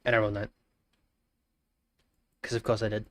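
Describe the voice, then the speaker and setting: A man's voice: on the low side, little pitch movement, normal speed, no echo. A man in his twenties with a blocked nose, chatting casually and jokingly on a live stream, often trailing off into mumbles. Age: 20s. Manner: joking, greets chat warmly, trails off into mumbles.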